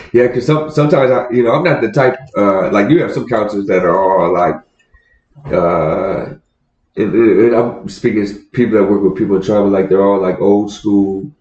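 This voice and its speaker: Chill guy host, low voice, cusses a lot, laughs a bunch, roomy kinda dull recording. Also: moderate pace, a little mellow. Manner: laid-back, swears freely, laughs between stories. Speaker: podcast host. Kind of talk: casual conversation.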